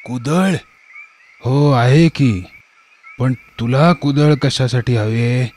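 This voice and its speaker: A gentle, grateful old grandfather from a fairy-tale cartoon, acted by a man. He speaks very slowly and affectionately, at a middling pitch, drawing out his words like someone weary with age. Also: close to an everyday voice. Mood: weary, kindly. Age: older. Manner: slow, drawn-out, affectionate.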